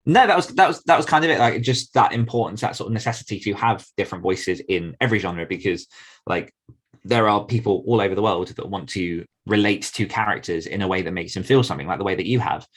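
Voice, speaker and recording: A man's voice: lowish voice, fast, fairly bright tone. A man co-hosting a podcast in casual chat, teasing his co-host and talking with plenty of fillers. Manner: chatty, teasing, filler-heavy.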